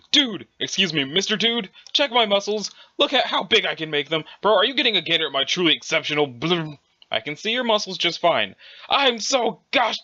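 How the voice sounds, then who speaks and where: A man voicing a boastful, muscle-obsessed male sprite who shouts gleefully and mixes formal words with slang. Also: high voice, muffled recording, exaggerated voice.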